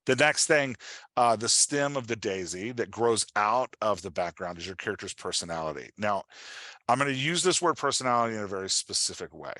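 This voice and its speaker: Low-voiced man teaching an informal workshop. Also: fairly quick, dry recording, clean studio sound. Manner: animated, conversational, checks in with "right?". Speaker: writing coach and workshop presenter. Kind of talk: informal online workshop presentation.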